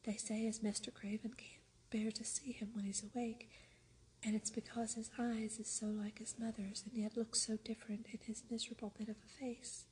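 A gentle Yorkshire farm boy talks in a steady hush, as a woman reading a storybook whispers him. His Yorkshire dialect stays soft and even, with very little rise and fall. A faint hiss sits under the whisper. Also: fairly high pitch, normal speed, lightly acted, rough recording.

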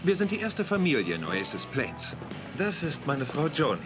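A man's voice: fairly high pitch, huge pitch swings, moderate pace, music in the background. A man acting a jovial property developer with hearty salesman patter in a dubbed German TV drama. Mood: hearty, welcoming.

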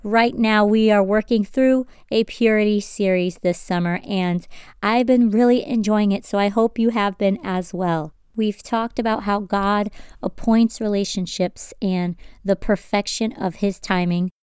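A woman's voice: mid-range voice, a little mellow, clear, resonant tone, no room sound, studio quality. Congested young woman hosting a faith podcast. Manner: earnest, asks listeners direct questions. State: congested from sinus trouble. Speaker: podcast host.

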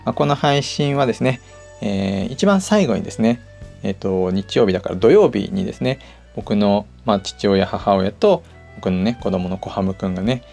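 A man's voice: fairly low pitch, normal speed, vocal fry, darkish tone. A middle-aged man hosting a personal audio show, talking casually and chattily to his listeners. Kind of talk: casual solo talk to listeners. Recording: music playing behind the voice. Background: background music.

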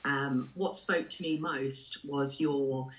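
Woman in her forties, English accent, born in Ireland, expressive lilting speech, podcast guest. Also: a bass voice, takes it slowly, poor audio. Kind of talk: warm reflective conversation.

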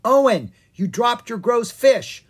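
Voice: mid-range voice, very animated, slow, breathy edge, voice pushed up, full character voice. A reader doing a bossy young dragon girl. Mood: exclaiming.

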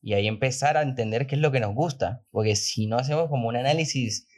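A man's voice: medium pitch, quick pace, a little mellow. A man hosting a podcast in a casual, conversational way, thinking aloud in an informal, rambling manner. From outside Argentina, moved there. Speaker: podcast host.

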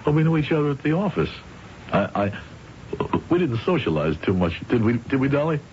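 An actor playing a nervous, defensive office executive who stammers and repeats himself in an old radio drama. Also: male voice, mid-range voice, big pitch swings, brisk, a little mellow, a little hiss or hum, natural voice. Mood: nervous, evasive. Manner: stammering repetitions, agitated, swinging delivery. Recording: dull old radio recording with music.

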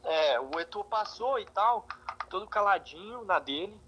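Slightly high-voiced Brazilian man, faint noise. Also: animated, normal speed, pushed and bright. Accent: Brazilian Portuguese. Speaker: podcast guest. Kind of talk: casual chat, anecdotes.

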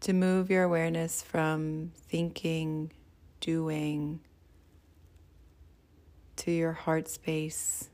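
A woman leading a guided meditation as a teacher, speaking in a soothing, gentle and unhurried way. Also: low voice, flat delivery.

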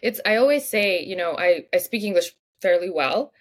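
Woman, low voice. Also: expressive, moderate pace. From Moldova. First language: Russian. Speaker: podcast host. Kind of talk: casual conversation.

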